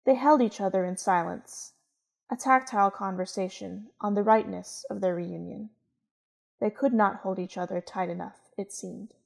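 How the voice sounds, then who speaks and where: A woman's voice: medium pitch, steady tone, normal speed, vocal fry. A woman reading scripted literary narration as a storyteller.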